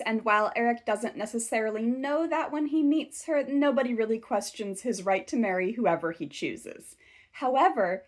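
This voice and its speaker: A woman hosts a scripted commentary video. Her voice sits a little high, and she works through her points in a measured, analytical way. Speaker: video essay host.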